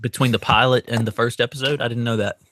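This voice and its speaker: A male podcast co-host with a mid-pitched voice chats casually. The sound is dry, with little room on it. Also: steady tone, fast, fairly bright tone, dry recording. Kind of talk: casual chat.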